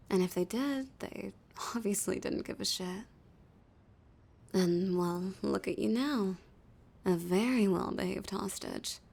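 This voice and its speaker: Woman voicing a dominant female villain, breathy and husky, creaky edge, teasing menace, whispered threats, intimate audio roleplay. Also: mid-range voice, darkish tone. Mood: mocking, amused. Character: flirty, dominant female villain taunting a hostage.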